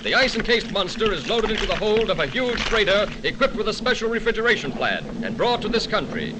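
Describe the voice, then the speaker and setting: A man's voice: sits high, sing-song, a little fast. A man with an American accent narrating dramatically in grand 1940s newsreel style on a noisy vintage cartoon soundtrack.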